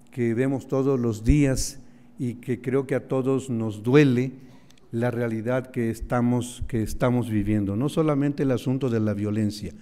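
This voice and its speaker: A man's voice: medium pitch, warm tone. A man whose first language is Mazatec giving an earnest formal opening speech, piling up lists with many fillers. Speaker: director of a national institute, speaker. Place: auditorium. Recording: lecture hall with long reverb.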